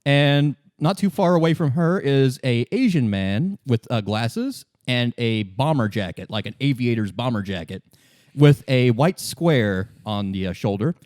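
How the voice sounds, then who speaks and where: A man acting as game master, narrating a tabletop game in an informal, halting, improvised way. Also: medium pitch, animated, a little mellow, no echo, clean studio sound.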